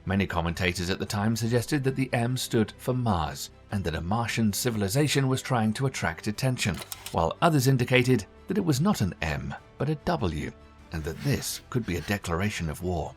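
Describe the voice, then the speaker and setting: A man reading scripted documentary narration in a measured, formal way. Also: on the low side, sing-song, soft background music.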